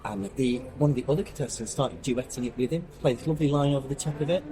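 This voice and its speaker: A man's voice: mid-range voice, quick pace, a crowd in the background. A man talking as a podcast guest about street piano playing, an enthusiastic storyteller who laughs often. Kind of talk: casual chat.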